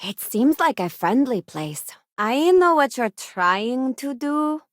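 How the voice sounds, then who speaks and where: An actress voicing a sincere, principled young female space ranger and crew member in a video game, with a measured, plain-spoken delivery. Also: sits high, big pitch swings, no echo, studio quality, heavily acted. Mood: earnest, thoughtful. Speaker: voice actress playing a game companion. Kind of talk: scripted video game voice lines.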